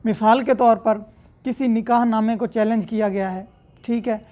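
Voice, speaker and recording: A woman's voice: fairly high pitch, steady tone, normal speed, warm tone. A woman with a Pakistani accent lecturing as a law teacher, explaining step by step and repeating points in other words. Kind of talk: explanatory lecture, reading English statute and explaining it in Urdu.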